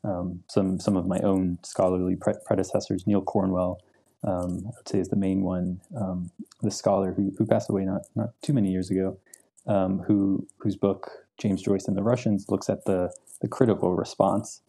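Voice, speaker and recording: A man's voice: sits low, level tone, normal speed, mellow, husky. A young man, a literature professor, answering interview questions in a thoughtful, hesitant way with many ums and repeated words. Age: young adult.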